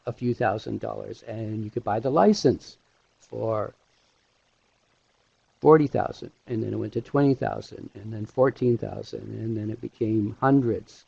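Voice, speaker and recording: A middle-aged man giving an informal online presentation as an instructor, speaking haltingly with pauses mid-sentence through a headset mic. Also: lowish voice, creaky, warm tone, slightly rough, no echo. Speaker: animation instructor hosting a webinar. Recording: dull, lo-fi webinar audio.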